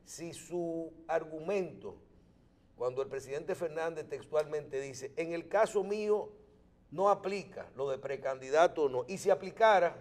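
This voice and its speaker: A man with a Dominican accent interviewing on a political show, phrasing questions in a halting, roundabout way with repeated words. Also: fairly high pitch, a little mellow.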